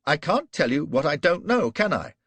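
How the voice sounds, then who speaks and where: A man doing a panicked coward of an officer from a storybook, pitched up high and loud above his usual deep register. The voice pleads frantically and stammers, with a husky, gravelly edge. The recording is dry and close. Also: very animated, moderate pace, no room sound. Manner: frantic, shrill, begging and stammering. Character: terrified cowardly young British officer, pleading. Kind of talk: audiobook character reading.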